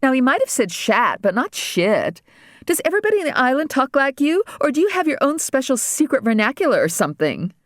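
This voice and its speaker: A woman voicing a bratty governor's daughter who complains in a shrill, melodramatic voice. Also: very animated, brisk, no echo, studio quality. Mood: scornful.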